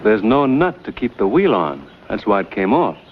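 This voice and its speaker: An actor playing an easygoing, helpful American frontiersman in a period TV drama, talking in a relaxed drawl with hesitant uhs. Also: fairly low pitch, moderate pace, narrow, tinny audio, slight background noise. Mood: explaining.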